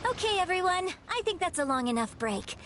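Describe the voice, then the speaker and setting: A woman playing a poised young mentor in a video game, composed and gently teasing. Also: very high-pitched, very animated, fairly quick, a little hiss or hum, exaggerated voice.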